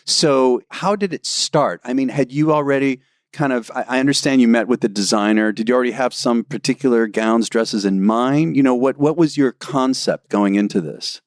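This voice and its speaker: A man's voice: medium pitch, normal speed, hardly any pauses, no room sound, studio quality. A man hosting a podcast, asking questions in a conversational way. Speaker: podcast host, interviewer. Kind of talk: conversational interviewing.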